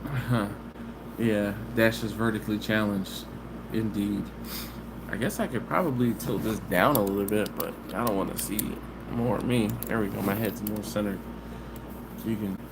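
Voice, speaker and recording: A man, hosting a casual live stream from home on his phone and chatting easygoing with viewers. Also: lowish voice. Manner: easygoing and chatty, with drawn-out "mm-mm" hums. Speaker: barbecue channel host. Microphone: phone camera. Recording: live stream audio with background hiss.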